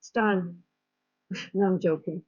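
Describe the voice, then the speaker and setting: A woman's voice: on the low side, fairly even intonation, takes it slowly, husky, dull audio. Dutch woman chatting on a live stream. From Netherlands. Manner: rambling, frank, many fillers and restarts. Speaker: trading coach streaming live. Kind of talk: casual live-stream chat. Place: home in South Africa.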